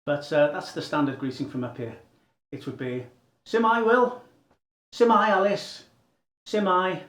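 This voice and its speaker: Chatty man, higher voice, echoey room. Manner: chatty and tentative, modelling phrases aloud. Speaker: language learner hosting a lesson series. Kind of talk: casual spoken lesson.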